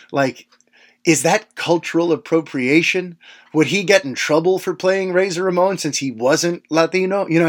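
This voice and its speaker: A man in his late thirties hosting a podcast, recalling old memories in a loose, conversational monologue full of "you know" asides. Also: on the high side. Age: late 30s. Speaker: podcast host. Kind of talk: casual reflective monologue.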